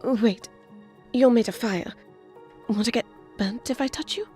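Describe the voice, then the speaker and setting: A woman playing a gentle, earnest and hesitant young lady in a romantic audio drama. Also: fairly high pitch, unhurried, faint music behind, natural voice. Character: sweet, shy, earnest young woman, a seamstress.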